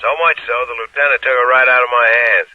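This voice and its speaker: An actor playing a brisk, chummy, slangy police sergeant in a 1950s radio drama. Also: male voice, mid-range voice, fairly even intonation, dry recording, natural voice. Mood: eager, pleased. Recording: thin, phone-like old radio recording.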